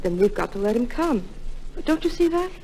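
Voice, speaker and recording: A woman's voice: a little high, sing-song, fairly quick, a little mellow. An actress playing a sweet young woman in love in an old-time radio drama, earnest and sentimental. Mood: delighted, pleading. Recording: noisy old radio recording.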